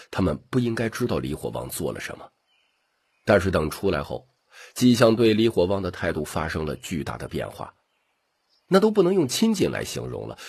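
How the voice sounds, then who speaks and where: A man with a rough voice narrating a scripted audiobook story. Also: low-pitched, darkish tone.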